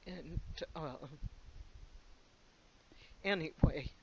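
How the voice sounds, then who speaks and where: A man slowly recalling a personal memory in a halting way, with pauses and fillers. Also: a little high. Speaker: interviewee recalling the day. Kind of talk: personal recollection. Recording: muffled lo-fi sound with faint noise.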